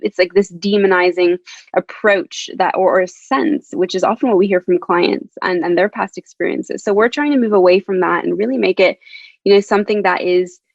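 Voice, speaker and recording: A woman's voice: medium pitch, steady tone, normal speed, creaky, no echo, highs cut off. A Canadian woman, a dietitian, talking casually as a podcast guest in an upbeat way, laughing often and repeating words as she restarts. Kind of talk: casual interview talk.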